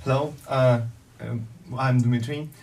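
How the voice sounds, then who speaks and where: A man's voice: mid-range voice, fairly even intonation, unhurried, darkish tone, slight background noise. Hesitant Russian man, distant mic. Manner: hesitant, many fillers. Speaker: radio guest. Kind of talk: casual interview chat.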